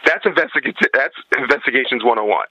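A man's voice: medium pitch, lively intonation, fast talker, husky, no echo, dull audio. A man talking casually as an interview guest, emphatic and opinionated in his delivery. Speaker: podcast guest, activist.

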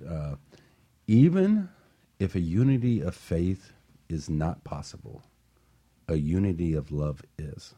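A man's voice: a bass voice, dark tone, breathy edge. A man hosting a casual panel discussion, talking in an earnest, conversational way with emphatic repetition. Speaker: talk show host.

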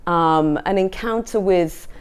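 Low-pitched middle-aged southern English woman. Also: takes it slowly, smooth. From England. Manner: reflective, conversational. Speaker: artist giving a talk. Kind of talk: informal personal talk to an audience.

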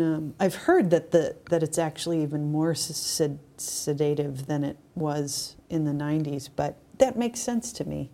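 Low, creaky-voiced woman chatting casually. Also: mellow. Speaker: podcast co-host. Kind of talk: casual chat.

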